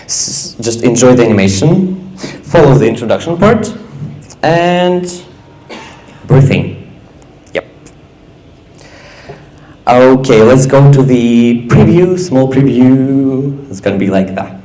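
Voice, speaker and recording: A young man giving a casual app demo on stage, halting with many fillers. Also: mid-range voice, expressive, dark tone, echoey room, a little hiss or hum. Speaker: student presenter. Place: event stage.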